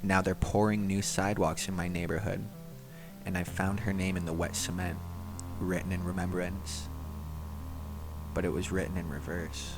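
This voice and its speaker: Low voiced guy reading horror stories n chatting about them, loud music underneath. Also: little pitch movement, moderate pace, long pauses, rough recording. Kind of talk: story reading and casual commentary. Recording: music bed behind the voice. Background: background music.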